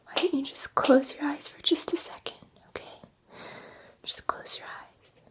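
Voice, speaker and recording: A gentle alien scientist voiced by a woman whispers to her human subject in an ASMR roleplay. Her voice is soft, breathy and high, with very unhurried pacing. She is soothing yet excitable, repeating reassurances. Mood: gentle, careful.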